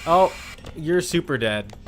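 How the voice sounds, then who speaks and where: A man's voice: on the high side, expressive, takes it slowly, slight background noise. A man livestreaming a video game with casual commentary, muttering to himself, repeating phrases and chatting with viewers. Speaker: livestreamer playing a horror game.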